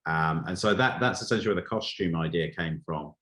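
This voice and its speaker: A man from Wales with a low-pitched voice speaks as a guest in an interview. He is a film director giving conversational answers, often halting and restarting as he searches for the right words. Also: fairly bright tone.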